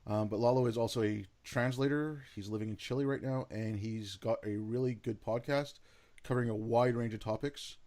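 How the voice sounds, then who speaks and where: A man's voice: mid-range voice, fairly even intonation, a little fast, a little mellow. A man hosting a podcast interview, speaking in a friendly, rambling way with lots of "like" and "you know". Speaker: podcast host. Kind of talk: casual interview.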